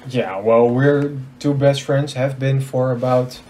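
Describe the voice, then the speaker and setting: Young male gaming host, Dutch accent, mid pitch, joking and slightly awkward casual chat. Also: steady tone, measured pace, dark tone. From Netherlands. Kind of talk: casual chat over gameplay.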